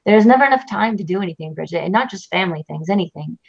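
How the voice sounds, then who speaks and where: A woman's voice: on the low side, expressive, rapid-fire, gravelly. A woman voicing a husband with a temper, answering in flustered, blustering retorts. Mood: defensive. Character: man with a temper, bickering husband. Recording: dull lo-fi recording.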